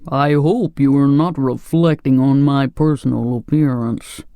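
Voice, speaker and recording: A narrator voicing a grave, polite pumpkin-headed man from a storybook, speaking in a solemn, formal and measured way. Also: medium pitch, fairly even intonation, mellow, clear, resonant tone, no room sound, close to an everyday voice. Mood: grave, mild.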